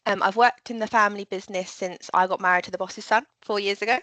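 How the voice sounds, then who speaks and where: A woman in a customer support role presents a product in a live business webinar. She explains things in a friendly, clear way, with a few ums. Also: medium pitch, fairly even intonation, quick pace, a bit bright, no room sound, low-quality recording.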